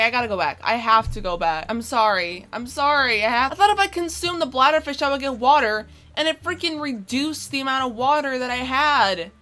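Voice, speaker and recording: A woman in her twenties giving casual, playful let's play commentary, talking to herself with slangy exclamations. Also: high voice. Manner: animated self-talk, playful exclamations and slang. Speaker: gaming video host. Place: small room indoors at home. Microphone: separate mic with phone as backup.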